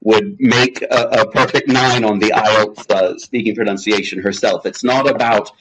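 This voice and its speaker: A man's voice: fairly low pitch, fairly even intonation, moderate pace, a crowd in the background, rough recording. A man speaking as a guest in a webinar, answering questions in a chatty, jokey way with many restarts. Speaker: guest speaker on language testing.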